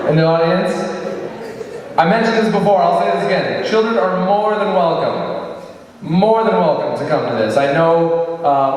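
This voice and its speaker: A young man doing a stand-up comedy set with a dry, deadpan delivery and crowd work. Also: a little high. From Iranian-American. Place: large hall on stage. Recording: echoey hall from far off, faint noise.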